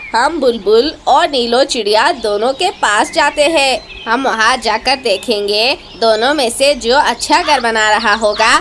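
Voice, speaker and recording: A woman's voice: fairly high pitch, fairly bright tone, slight background noise. A woman voicing a lazy, persuasive male crow in a cartoon story, with an exclamatory, urging manner. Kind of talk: Hindi cartoon story dialogue.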